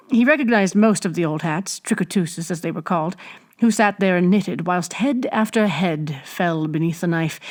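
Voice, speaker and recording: Woman reading a classic novel expressively. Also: fairly low pitch. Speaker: audiobook reader.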